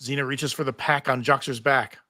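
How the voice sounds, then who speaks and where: Bright-voiced man narrating a script. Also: mid-range voice, steady tone, moderate pace. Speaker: narrator reading stage directions. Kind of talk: scripted narration at a live table read.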